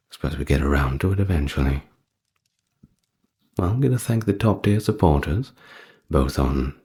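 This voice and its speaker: Man, extremely low voice, dark and breathy, soft-spoken, ASMR history narration. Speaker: history narrator and channel host.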